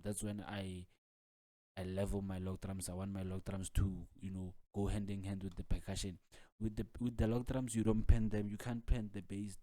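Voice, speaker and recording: A man's voice: fairly low pitch, dry recording. A man giving an informal music production tutorial, explaining casually and loosely, repeating himself and peppering his talk with "like" and "man". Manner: casual, repetitive filler-heavy explaining with frequent "like" and "man". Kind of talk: informal software tutorial walkthrough.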